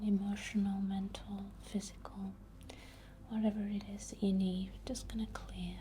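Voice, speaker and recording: A woman softly whispering a guided ASMR healing ritual in a breathy, gentle and soothing manner, often repeating words. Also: mid-range voice, slight background noise, poor audio.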